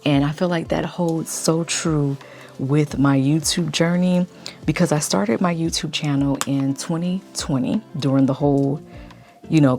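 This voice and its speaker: A woman vlogger chatting casually in a deep morning voice, keeping it low and quiet. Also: vocal fry. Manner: speaking low to stay quiet. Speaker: lifestyle YouTube vlogger. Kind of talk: casual chit-chat vlog.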